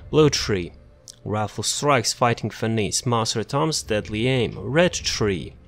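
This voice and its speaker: A man casually walking through a game character build, relaxed and rambling, with frequent fillers and restarts. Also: fairly low pitch, slow, vocal fry. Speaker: gaming content creator. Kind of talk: casual build showcase commentary.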